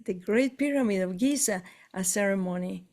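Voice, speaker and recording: A woman from Argentina with an Argentine Spanish accent and Spanish as her first language, talking casually in halting English, often searching for words, as she shares spiritual travel experiences. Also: medium pitch, a little mellow. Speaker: spiritual tour guide. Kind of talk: casual conversation.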